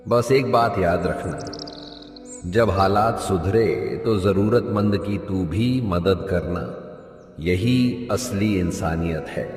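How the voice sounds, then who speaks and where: Man playing a slow, low-voiced village headman. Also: darkish tone. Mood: sympathetic, kindly. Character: kind, henpecked village headman, weary but generous. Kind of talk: Hindi cartoon moral story dialogue. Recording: distant echoey reverb, music behind.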